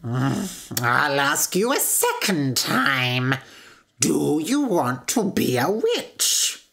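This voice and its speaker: A woman voicing a stern, menacing old witch who speaks in slow, drawn-out demands for a storybook tale. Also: low voice, sing-song, creaky, a bit bright, exaggerated voice.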